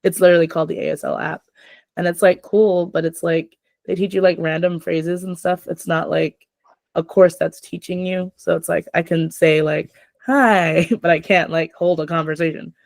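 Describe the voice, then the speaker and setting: A woman's voice: fairly low pitch, fairly even intonation, moderate pace, vocal fry, a little mellow, dry recording. A young adult woman hosting a casual chat, rambling with lots of filler words.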